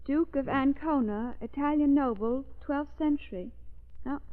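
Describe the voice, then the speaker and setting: A woman's voice: sits high, a little slow. An actress playing a curious, refined young society woman in a 1930s radio drama, earnest and full of questions. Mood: curious, puzzled. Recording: thin, tinny 1930s radio recording.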